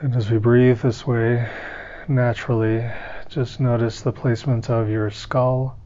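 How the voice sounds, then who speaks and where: A man leading a guided meditation in a calm, gentle, unhurried manner, with long pauses between instructions. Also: mid-range voice, highs cut off.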